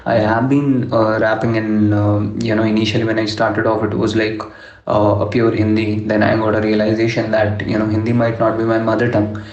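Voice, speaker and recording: A young man from Delhi, a rapper, answers interview questions in a low, steady voice with a flat melody. He pauses a lot, filling the gaps with "uh" and "you know". The sound is dull and lo-fi, with a roomy echo. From Delhi, India. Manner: measured, many fillers like "you know" and "uh". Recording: echoey room, dull lo-fi sound.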